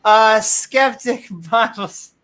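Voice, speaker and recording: A woman co-hosting a casual livestream chat. She tosses out quick jokes and one-liners in a relaxed, offhand delivery. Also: a little high. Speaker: co-host.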